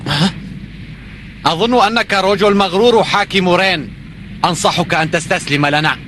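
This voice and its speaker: A man's voice: high voice, fairly quick, slight background noise. A man voicing a bold young hero who commands a space fleet in a dubbed cartoon. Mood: defiant. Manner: bold, defiant. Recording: dialogue over loud music.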